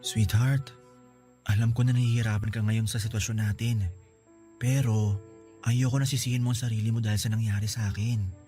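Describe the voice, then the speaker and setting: A man's voice: lowish voice, fairly even intonation, normal speed, pauses often, mellow, soft background music, natural voice. An actor playing an injured young man, a forgiving boyfriend in a radio drama who speaks tenderly and earnestly. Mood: tender, apologetic. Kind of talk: classic radio-drama acting.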